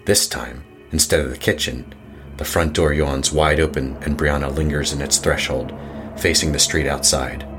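A man narrating a scripted horror story in a deliberate, dramatic way. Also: a bass voice, fairly even intonation. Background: music under the voice.

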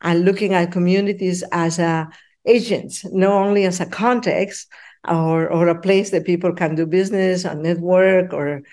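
A mature woman with a Peruvian Spanish accent, speaking as a professor in an interview in earnest, halting phrases. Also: on the low side, steady tone, darkish tone. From Peru. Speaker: professor, podcast guest. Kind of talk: interview answer.

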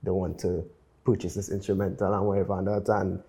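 A man's voice: deep voice, little pitch movement, fairly quick, mellow. A man with a Trinidadian accent, talking casually and conversationally as a guest music producer in an interview.

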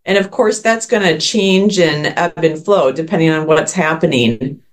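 A woman's voice: deep voice, moderate pace. A woman hosting a podcast, interviewing a guest in an upbeat, encouraging way. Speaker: podcast host. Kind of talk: casual interview.

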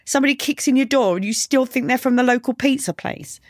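A woman voicing a brash, sarcastic young punk woman in audiobook dialogue, deadpan and taunting. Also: high voice, fast talker, dry recording, clean studio sound, voice pushed up. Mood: calm, mocking.

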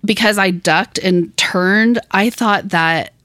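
A woman's voice: mid-range voice, slow pace, a bit bright, dry recording. A woman co-hosting a casual podcast, talking in a chatty, storytelling way and laughing often. Her throat is a little dry from allergies. The recording is close and dry, with almost no room sound. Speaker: podcast co-host. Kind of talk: casual chat. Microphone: podcast mic in a small room.

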